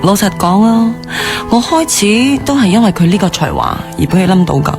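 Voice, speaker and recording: A woman's voice: medium pitch, big pitch swings, fairly quick. An actress playing a candid, self-possessed, wistful former girlfriend in radio drama dialogue. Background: music bed.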